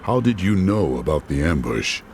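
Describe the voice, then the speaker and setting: A man's voice: sits low, very animated, normal speed, vocal fry, a little mellow, full character voice. An actor voicing a stern male hunter who speaks tersely and coldly, in game audio with music behind. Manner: terse, cold and determined. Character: grim male demon hunter, stern and resolute.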